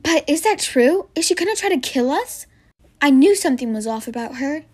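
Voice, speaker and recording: A woman's voice: sits high, expressive, normal speed, no echo. A woman voicing an eager, childlike young girl headed to summer camp in a roleplay story. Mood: worried.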